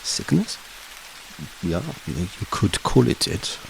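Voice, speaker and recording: An actor playing a learned, grave Dutch professor in an audio horror drama, speaking deliberately and cryptically in unidiomatic English. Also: male voice, deep voice, very animated, gravelly, noisy background, exaggerated voice. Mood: guarded, cryptic. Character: learned, grave foreign professor who hunts vampires.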